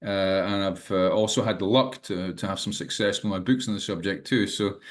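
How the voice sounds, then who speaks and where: A Scottish man in his sixties with a fairly low voice talks as an interview guest. He hesitates, restarts and fills pauses with "uh," chuckling now and then. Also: steady tone. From Scotland. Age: 60s. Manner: hesitant, many fillers and restarts, chuckles often. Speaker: guest, management teacher and author. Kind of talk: casual interview talk.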